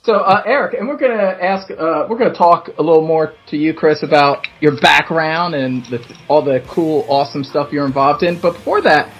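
A man's voice: a little high, moderate pace, hardly any pauses, poor audio. A man from the Philadelphia suburbs hosting a casual podcast chat, joking and teasing his guests. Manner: joking, teasing, laughs often. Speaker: podcast host.

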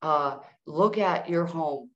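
A woman's voice: low voice, takes it slowly, a bit bright, echoey room, lo-fi sound. A woman guest coaching listeners in a soothing way, often checking in with "all right?". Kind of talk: guided healing talk.

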